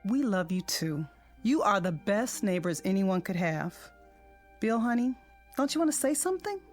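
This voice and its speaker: A woman's voice: mid-range voice, very animated, normal speed, soft background music, natural voice. An American actress playing an affectionate, gracious wife and mother in a radio drama.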